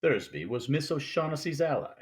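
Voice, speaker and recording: An actor playing a courtly, scheming older crime boss in a 1940s-style radio noir, with pompous, wordy politeness. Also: male voice, fairly high pitch, sing-song, fairly quick. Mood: smooth, matter-of-fact. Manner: pompous, florid, mannered politeness. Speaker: stage actor in a radio play.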